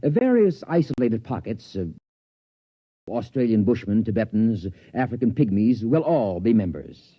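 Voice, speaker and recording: An actor playing an unflappable, absent-minded academic, smugly confident and musing in a mild, hesitant 1950s radio drama manner. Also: male voice, on the low side, sing-song, normal speed, slightly rough, dry recording. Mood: placidly matter-of-fact. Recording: dull, dry vintage radio recording.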